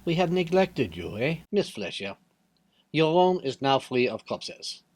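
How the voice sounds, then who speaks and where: A man's voice: mid-range voice, very animated, measured pace, a little mellow. A man playing a clever, courtly detective in a mystery play, with a French-speaking European accent and a formal, theatrical manner. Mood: composed, knowing. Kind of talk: radio mystery play acting.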